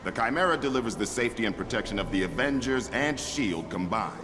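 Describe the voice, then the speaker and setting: A firm, authoritative agency chief, played by a man in a video game. His voice is fairly low, with long reverb and music behind it. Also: animated, fast talker, lightly acted. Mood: firm reassurance. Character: stern, assured male intelligence agency director. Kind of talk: video game cutscene acting.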